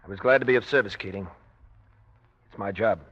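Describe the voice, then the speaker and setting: An American actor playing a steady, protective surgeon and loyal husband in 1940s-style radio drama, calm and firm. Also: male voice, fairly low pitch, brisk. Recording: dull old radio recording.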